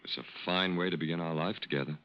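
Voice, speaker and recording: An actor playing a courtly, sentimental middle-aged con artist who poses as a Major, in 1940s radio style. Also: male voice, low voice, steady tone, fast, close to an everyday voice. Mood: courtly, wistful. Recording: muffled old-time radio recording.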